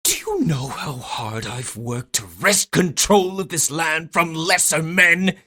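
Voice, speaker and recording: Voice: mid-range voice, lively intonation, takes it slowly, sharp tone, heavily acted. An actor voicing a haughty, bitter usurper in a video game, scornful and theatrical. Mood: scornful, furious. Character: haughty, bitter usurper ruler clinging to a stolen throne. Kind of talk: dramatic video game voice acting. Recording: music under the voice.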